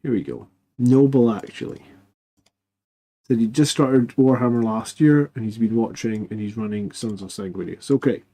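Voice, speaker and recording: A man hosting a live stream in casual chat, quiet because he is losing his voice, rambling with fillers and restarts. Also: mid-range voice, normal speed. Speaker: host of a live army list show.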